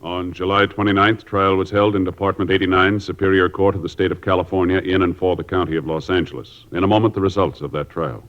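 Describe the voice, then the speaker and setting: Formal deep-voiced 1950s radio announcer. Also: male voice, steady tone. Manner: measured, formal, matter-of-fact reading. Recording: dull lo-fi 1950s radio transcription over loud music.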